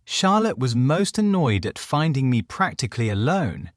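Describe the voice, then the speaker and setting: A man's voice: medium pitch, very animated, normal speed, no room sound, studio quality, lightly acted. A man voicing a naive, dreamy young Edwardian lady in a storybook, speaking politely and earnestly. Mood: mildly annoyed. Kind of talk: audiobook character dialogue.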